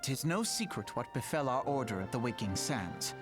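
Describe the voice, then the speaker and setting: A man's voice: medium pitch, big pitch swings, moderate pace, lightly acted. An actor playing an earnest, self-assured young nobleman in a fantasy game, speaking in formal, archaic phrases. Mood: grave, resolute. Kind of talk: theatrical fantasy game voice acting. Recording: music behind the voice.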